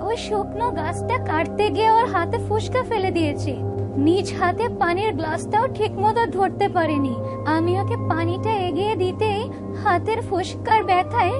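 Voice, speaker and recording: A woman's voice: extremely high pitch, moderate pace. A woman voicing a protective, indignant young village daughter in a cartoon, speaking emphatically. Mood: upset. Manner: emphatic, pleading complaint. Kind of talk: cartoon dubbing. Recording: loud music behind voice.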